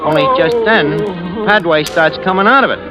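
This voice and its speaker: Shifty, lively New York wise guy, acted by a man. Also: on the high side, normal speed, natural voice. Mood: smug storytelling. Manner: streetwise slangy talk with bad grammar. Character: shifty, wisecracking American crook posing as a gentleman. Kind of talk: 1940s radio acting, first-person narration. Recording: old radio recording with music behind.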